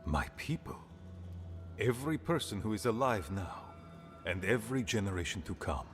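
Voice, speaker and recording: Fantasy game: aging dwarf leader, low pitch with lively swings, grave, pragmatic, blunt, faint music behind. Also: male voice, normal speed. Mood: earnest, grave. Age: older. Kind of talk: fantasy video game dialogue.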